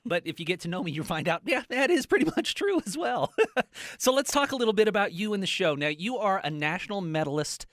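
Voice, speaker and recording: A man's voice: high voice, lively intonation, fast talker, fairly bright tone, dry recording, studio quality. Chatty middle-aged male radio host. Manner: chatty, jokey, self-deprecating. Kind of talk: radio interview and promo.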